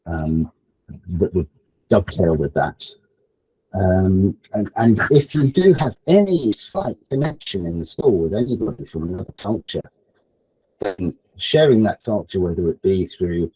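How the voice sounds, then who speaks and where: A man speaking informally at an online event for teachers, halting and repeating phrases as he thinks aloud. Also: deep voice, warm tone, slightly rough, dry recording, rough recording. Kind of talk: informal presentation, advice to teachers. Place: online event, close dry sound.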